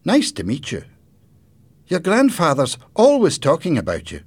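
A man's voice: wildly swooping pitch, moderate pace, breathy edge, exaggerated voice. A man voicing a friendly, cheerful sixteen-year-old boy in a storybook, upbeat and emphatic. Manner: upbeat, high-pitched, emphatic.

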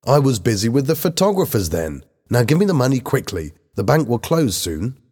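A man's voice: medium pitch, sing-song, normal speed, a little mellow, slightly rough, voice pushed up. A man voicing an irritable, accusing teenage boy in a story, curt and demanding. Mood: impatient.

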